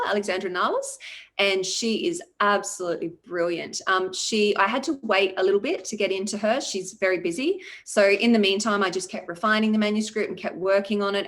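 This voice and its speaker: A woman's voice: medium pitch, fairly bright tone. Woman novelist in her forties. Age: 40s. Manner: reflective, chatty, many ums. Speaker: debut novelist guest. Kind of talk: conversational interview.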